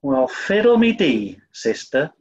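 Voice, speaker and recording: A man's voice: on the high side, big pitch swings, slow, highs cut off, voice pushed down. A man voicing a toff who poses as a bumbling, over-polite cleaning woman with a put-on Southern US accent. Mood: bluffing sweetly. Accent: put-on American South. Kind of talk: 1940s radio comedy acting.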